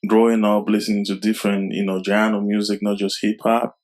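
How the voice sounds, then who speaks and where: A young man from Cameroon, raised in Germany, a rapper answering interview questions in a soft, halting way with many fillers. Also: fairly low pitch, flat delivery. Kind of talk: casual interview talk.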